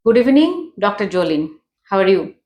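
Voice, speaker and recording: A woman's voice: medium pitch, very animated, fairly quick, roomy sound, over music. A woman hosting an interview, chatty and affirming, with loose, non-native grammar. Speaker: host. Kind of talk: conversational interview.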